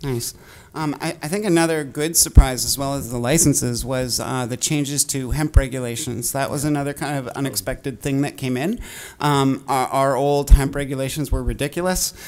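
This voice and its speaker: A man moderates a conference panel from a table microphone. He talks in a relaxed way, pausing on ums and restarts as he sets up each question. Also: medium pitch. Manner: relaxed, filler-heavy questioning. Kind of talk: panel discussion. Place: conference stage.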